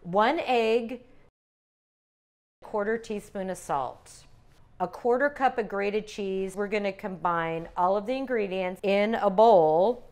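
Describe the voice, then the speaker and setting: An older woman calmly demonstrating recipes step by step as a cooking instructor in a teaching kitchen. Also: medium pitch, slow pace, a little hiss or hum. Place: home-style teaching kitchen.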